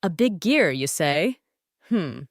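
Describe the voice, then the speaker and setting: A woman's voice: on the low side, big pitch swings, takes it slowly, no room sound, clean studio sound, full character voice. A woman voicing a burly, helpful male pickup truck shopkeeper in a children's storybook. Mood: helpful, thoughtful.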